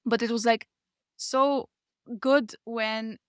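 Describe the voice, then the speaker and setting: A woman's voice: a little high, steady tone, takes it slowly, smooth, dry recording, studio quality. A woman co-hosting a podcast, chatting casually about books in a gushing, emphatic, informal way. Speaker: podcast co-host. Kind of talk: casual book discussion chat.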